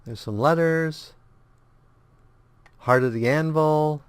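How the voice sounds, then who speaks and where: A man's voice: fairly high pitch, slow, clean tone, no room sound. A man with a stuffed-up nose and slightly sore throat, casually commenting on his game as he plays and humming idly through menus. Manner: hums idly while navigating menus; thinking aloud, relaxed, rambling. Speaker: let's play host.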